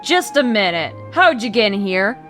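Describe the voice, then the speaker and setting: A woman's voice: mid-range voice, big pitch swings, moderate pace, fairly bright tone, faint music behind. A woman playing a no-nonsense police captain in an old-time radio crime drama, firm and curt. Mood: brisk, dismissive. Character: no-nonsense female police captain on night duty.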